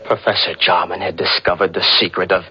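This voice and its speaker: Actor as deep-voiced obsessive young man, old radio. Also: little pitch movement, slight background noise, close to an everyday voice. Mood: tense, secretive. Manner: dramatic, brooding, deliberate. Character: obsessive young male chemistry teacher, scheming and brooding. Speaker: actor narrating a radio mystery. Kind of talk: 1940s radio mystery acting, first-person narration. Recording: muffled vintage radio recording with music.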